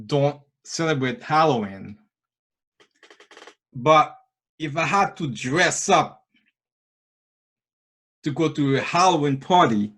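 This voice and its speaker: A man's voice: on the high side, a bit bright. A man giving an earnest, plain-spoken impromptu club speech over a video call, often repeating himself. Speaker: Toastmasters speaker.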